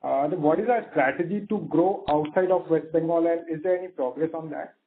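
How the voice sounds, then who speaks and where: A man's voice: on the high side, brisk. A man with an Indian accent asking questions as an analyst calling into an earnings call. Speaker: caller, analyst. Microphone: phone call. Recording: narrow tinny phone line.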